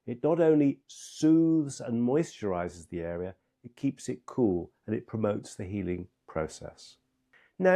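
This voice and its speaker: A man delivering scripted medical instruction in a measured, emphatic, step-by-step way, as a practitioner teaching others. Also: lowish voice, warm tone.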